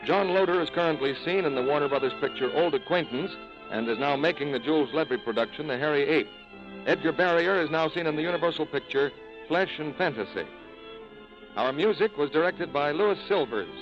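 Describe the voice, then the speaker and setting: A man's voice: fairly high pitch, a little fast, dull audio. A man as a 1940s radio announcer, reading scripted credits in a formal, polished way on a vintage recording. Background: music.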